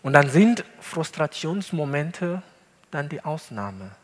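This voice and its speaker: A male pastor, not a native German speaker, preaching an earnest sermon in a church hall with deliberate emphasis. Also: on the high side, sing-song. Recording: long hall reverb.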